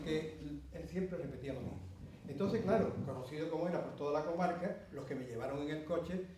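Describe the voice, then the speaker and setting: A man's voice: a little high, mellow, a little hiss or hum. A middle-aged man with an Andalusian accent, giving a conference talk and telling a long anecdote with many asides and fillers. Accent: Andalusian Spanish. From Andalusia, Spain. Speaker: speaker at a conference. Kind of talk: storytelling talk to an audience. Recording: roomy hall heard from a distance.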